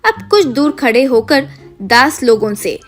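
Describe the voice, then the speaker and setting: A woman's voice: high voice, sing-song, measured pace, faint music behind. A woman narrator reading a scripted moral tale. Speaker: story narrator.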